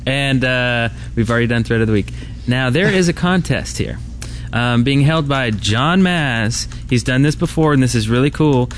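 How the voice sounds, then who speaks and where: A man's voice: medium pitch, moderate pace, hardly any pauses, soft background music. A man co-hosting a podcast in casual chat, recorded on an indoor convention floor. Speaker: podcast co-host. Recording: background noise of a busy convention floor. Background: convention ambience and noise.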